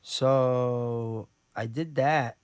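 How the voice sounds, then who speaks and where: A man's voice: medium pitch, steady tone, a little mellow, clear, resonant tone, no room sound. A man who is a rapper with a Brooklyn accent, talking casually in an interview, rambling with many restarts and fillers. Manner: rambling, halting with many restarts and "you know what I'm saying". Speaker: rapper and guest.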